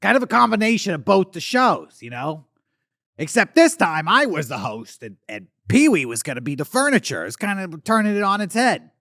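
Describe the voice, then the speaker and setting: A man plays a faded eighties alien celebrity with a raised, gravelly voice that swings wildly up and down. He rambles crudely and drunkenly, dry and close to the mic, nursing old grudges. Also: sits high, sing-song, moderate pace, bright, forceful tone, dry recording, exaggerated voice. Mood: maudlin. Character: washed-up male eighties alien puppet star, boozy and bitter. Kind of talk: comedic character monologue.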